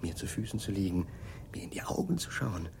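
An actor playing an agitated, eccentric male scientist haunted by war in a radio play, with a husky voice and an intense manner. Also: sits low, big pitch swings, background noise, heavily acted. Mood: grim, mournful. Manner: slow, intense, swinging from cries to hushed brooding. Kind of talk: dramatic radio play acting.

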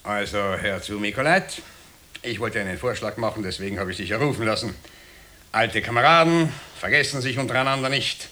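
An actor in a radio drama playing a smug, jovial Nazi functionary with an Austrian accent, backslapping and condescending. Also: male voice, on the low side, a little fast, bright, forceful tone. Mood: self-important. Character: smug, jovial Nazi party functionary, self-important careerist. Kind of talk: 1960 radio drama acting.

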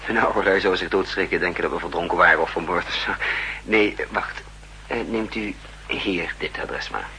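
A man's voice: deep voice, fairly even intonation, moderate pace, gravelly, sharp tone, exaggerated voice, low-quality recording. An actor playing a suave, wily male spy in a 1960s Dutch radio drama, nonchalant and theatrical. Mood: feigned nonchalance. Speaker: actor and narrator. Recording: 1960s radio recording with faint hum.

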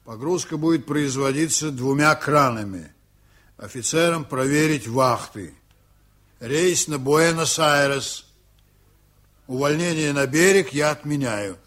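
An actor in a Soviet radio play as a steady, evasive Estonian sea captain, speaking deliberately and with authority. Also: male voice, a little high. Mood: firm, commanding. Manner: measured, deliberate, hesitating before answers. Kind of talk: Soviet radio drama acting.

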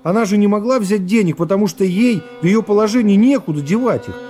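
A man's voice: high-pitched, fast talker, mellow, soft background music, voice pushed up, close to an everyday voice. A man voicing an irritated, argumentative male juror who presses his objections insistently in a literary radio reading.

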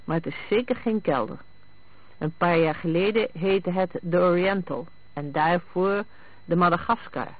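A man voicing a calm, urbane, evasive husband in a read detective story, unhurried and teasing. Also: high voice, dry recording. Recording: thin, phone-like band-limited sound.

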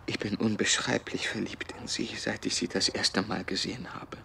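A man acting a smooth-talking, opportunistic suitor in a German radio drama, earnest and courting, with hesitant moments. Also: lowish voice, level tone, normal speed, pushed and bright, slight background noise, heavily acted. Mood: ardent, courting.

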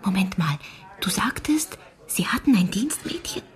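A woman's voice: medium pitch, big pitch swings, measured pace, in a whisper. An actress playing a curious, stubborn, loyal young girl in a radio drama, questioning and arguing in an earnest way. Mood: argumentative.

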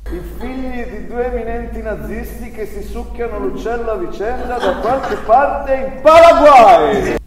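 A man's voice: extremely high pitch, animated, a crowd in the background. Echoey, distant actor playing nervous, bitter young man. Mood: taunting. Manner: halting, nervous, sardonic. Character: agitated, bitter 25-year-old son of exiled Nazi. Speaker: stage actor. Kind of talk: live staged reading of a play, theatrical monologue and dialogue. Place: theatre stage, recorded from a distance. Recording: very echoey stage, distant mic, faint noise.

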